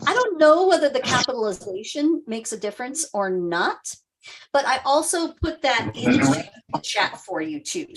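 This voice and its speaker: Woman in her 60s doing a casual live sales pitch over a computer mic, lots of ums. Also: rough recording. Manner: chatty and self-deprecating, lots of um fillers. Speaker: health practitioner hosting a live sales presentation.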